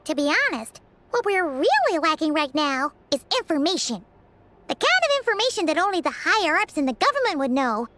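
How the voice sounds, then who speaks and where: A woman's voice: sits high, sing-song, fairly quick, fairly bright tone, slight background noise. A woman voicing a small, chirpy, talkative fairy companion with a childlike manner in a video game. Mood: pondering. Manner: refers to herself by name in third person.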